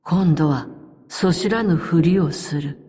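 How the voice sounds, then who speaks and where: A woman voicing a calm, stoic, loyal elite operative in a game, speaking in formal, measured, terse lines. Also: fairly low pitch, slightly rough, big-room sound. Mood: calm, restrained. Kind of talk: scripted game character voice lines.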